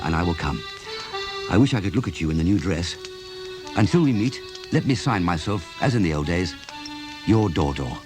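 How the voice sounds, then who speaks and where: A man plays a sentimental middle-aged English father in a radio drama. His low voice rises and falls dramatically as he speaks in florid, wistful phrases. Music plays behind him. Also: lightly acted. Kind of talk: radio play acting, reading letters aloud.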